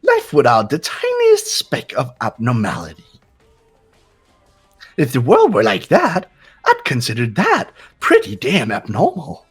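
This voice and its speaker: A cheerful, sinister game mascot with a husky, theatrical voice. The pitch swings dramatically in a sing-song delivery, with music playing behind. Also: male voice, huge pitch swings, measured pace, heavily acted. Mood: mock wistful. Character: cheerful, sinister mascot announcer with a twisted philosophy.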